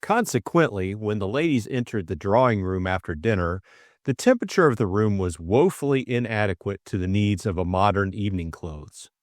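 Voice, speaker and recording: Man narrating, lively pitch, dry close sound. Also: fairly quick, no room sound. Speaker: audiobook co-narrator. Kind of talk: scripted dramatic book narration.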